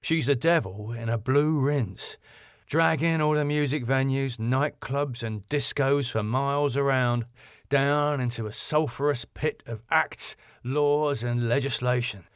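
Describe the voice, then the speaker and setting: A man's voice: medium pitch, measured pace, smooth, no room sound, clean studio sound, close to an everyday voice. A man voicing a sardonic, ominous and exasperated club manager in a sitcom.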